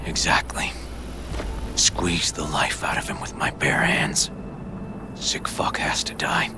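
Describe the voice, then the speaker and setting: An actor playing a grim, weary ex-detective bent on revenge in a video game, terse and hushed. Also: male voice, rumbling low, steady tone, slow pace, whispered, music in the background. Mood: cold rage. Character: grim, vengeful male ex-detective, weary and brooding. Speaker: former detective. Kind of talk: dramatic video game acting.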